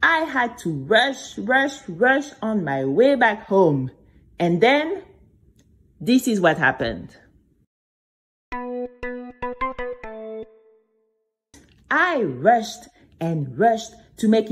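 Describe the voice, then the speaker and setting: A woman playing a chatty, dramatic young girl who tells her own story straight to listeners in an audio drama for children. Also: fairly high pitch. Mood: confiding, anxious. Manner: expressive, confiding, exclamatory, addressing listeners directly. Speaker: narrator and main character.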